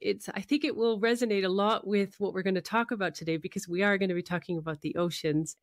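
A woman's voice: medium pitch, fairly even intonation, fast talker, no echo, studio quality. A woman presenting and interviewing as a radio host.

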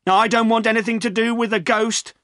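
A man's voice: very high voice, fairly quick, no room sound, studio quality, pitched above the performer's own voice. A man voicing a jumpy, defensive village poacher in a storybook. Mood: nervous. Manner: excitable, defensive.

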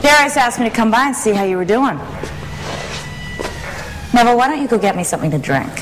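A woman's voice: a little high, big pitch swings, fast talker, long reverb tail, a little hiss or hum, rough recording. An actress playing a tough, guarded young female street racer in a video game, curt and dismissive. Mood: impatient. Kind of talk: video game cutscene acting.

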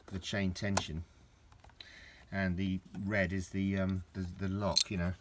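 A middle-aged man with a low voice, rambling through a casual hands-on garden demonstration with lots of restarts. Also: level tone, unhurried, background noise. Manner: rambling, with many restarts and fillers. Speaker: DIY vlogger. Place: outdoors in a garden.

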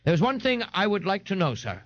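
A man's voice: high-pitched, sing-song, brisk, a bit bright, dull audio, pitched above the performer's own voice, full character voice. A man voicing a pompous, overweight, self-styled intellectual man for an audiobook, enunciating with grand indignation. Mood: haughty. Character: pompous, bombastic, overweight male slob intellectual. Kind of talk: audiobook character voice.

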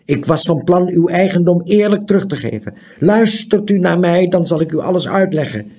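A man voicing an earnest, polite young squire who speaks softly and seriously, with a creaky, husky voice. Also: sits high, expressive, moderate pace, voice pushed up, full character voice. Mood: earnest pleading. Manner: earnest, soft-spoken, polite.

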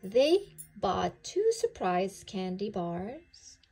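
A woman narrates a picture book for children. She takes her time over each phrase, with widely varying intonation. Her delivery is expressive and deliberate. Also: mid-range voice, slightly rough, faint music behind. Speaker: storybook reader for children. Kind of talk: read-aloud children's story narration.

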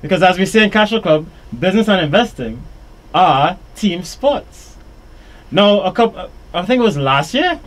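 A man's voice: high voice, very animated, normal speed, fairly bright tone, rough recording. Trinidadian man hosting live radio. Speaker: radio show host. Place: small radio studio. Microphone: studio boom mic with headphones.

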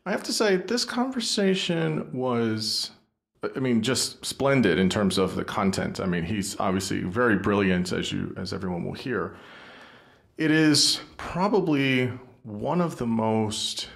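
A man's voice: lowish voice, creaky. A man hosting a podcast, introducing his guest and interviewing him enthusiastically with many fillers and restarts. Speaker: podcast host.

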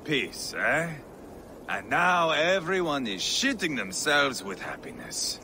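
A gravelly, furious Viking warlord. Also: male voice, wildly swooping pitch, takes it slowly, exaggerated voice. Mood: sardonic. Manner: gravelly, volatile, swings from low growls to shouts. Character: fierce grieving male Viking warlord. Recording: game soundtrack with ambient noise.

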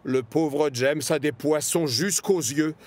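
A man's voice: a little high, takes it slowly, very noisy. A man narrating a scripted children's story.

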